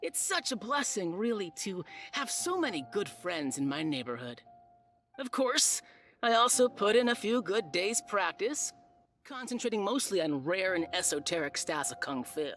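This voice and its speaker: Smug, animated martial arts competitor. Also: female voice, mid-range voice, normal speed, soft background music. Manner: pompous, self-assured. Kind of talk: video game voice acting.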